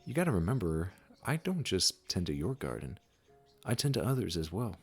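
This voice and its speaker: A man playing a gentle, wise supernatural gardener in a comforting audio roleplay, speaking soothingly and reassuringly straight to the listener. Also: deep voice, very animated, husky, faint music behind, exaggerated voice. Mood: reflective, tender. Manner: soothing, unhurried, reassuring, with soft chuckles.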